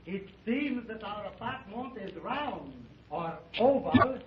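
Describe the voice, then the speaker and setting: An actor playing an excitable middle-aged French explorer in a 1930s radio serial, speaking with a French accent and peppering his talk with French words. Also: high-pitched, slow pace. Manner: exclamatory, peppers speech with French. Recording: dull old radio recording with faint hiss.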